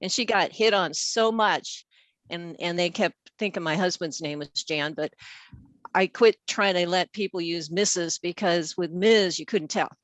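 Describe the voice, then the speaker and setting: A woman's voice: on the low side, measured pace, no room sound. An older woman presenting casually on an online call as a guest consultant, with an informal, rambling way of talking. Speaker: featured guest, packaging engineer and consultant.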